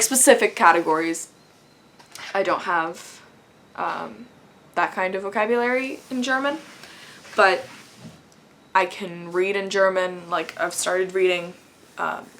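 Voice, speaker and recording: A woman's voice: mid-range voice, fairly bright tone, a little hiss or hum. A young woman chatting casually to camera, rambling with frequent laughs. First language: English. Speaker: vlogger.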